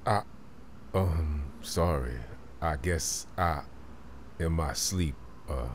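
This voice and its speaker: A man's voice: very deep voice, very slow, a little hiss or hum, heavily acted. A man playing a reserved, polite survivor in a comic dub. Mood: sheepish, hesitant. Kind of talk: amateur comic-dub voice acting.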